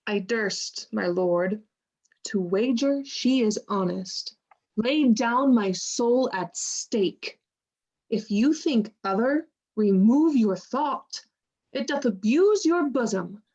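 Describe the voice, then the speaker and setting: An actress playing a loyal, frank lady's maid in a Shakespearean radio play, firm, emphatic and measured. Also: fairly high pitch, animated, darkish tone, full character voice, low-quality recording. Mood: indignant, earnest. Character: loyal, frank lady's maid, staunchly protective of her mistress.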